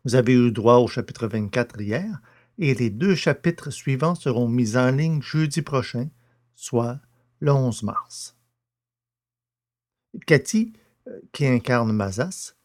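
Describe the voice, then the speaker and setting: A man's voice: mid-range voice, a little mellow. A man hosting a podcast and narrating, with a scripted, storytelling delivery.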